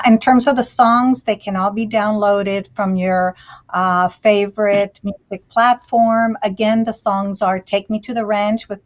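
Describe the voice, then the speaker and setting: A woman speaking informally as a guest author on an online panel, plugging her work over a video call. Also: mid-range voice. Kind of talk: informal self-promotion on a panel. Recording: dull, lo-fi video-call audio.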